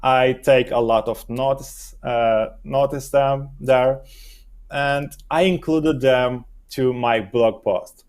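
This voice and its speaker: A young adult man whose first language is Romanian, answering interview questions in a halting way while he searches for words. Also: medium pitch, fairly even intonation, a little mellow, smooth. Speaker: guest, SEO expert.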